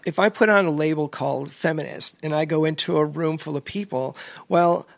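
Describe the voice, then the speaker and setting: A woman's voice: deep voice, no room sound. A woman who is a professional speaker, chatting as a podcast guest and telling her stories emphatically. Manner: emphatic, animated storyteller. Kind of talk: casual interview chat.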